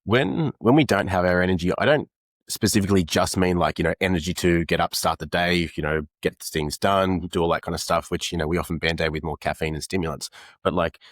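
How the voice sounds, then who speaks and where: A man's voice: sits low, steady tone, fairly quick, dry recording, studio quality. An Australian man, a men's coach, explaining ideas earnestly in an interview, with many fillers. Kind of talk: conversational interview.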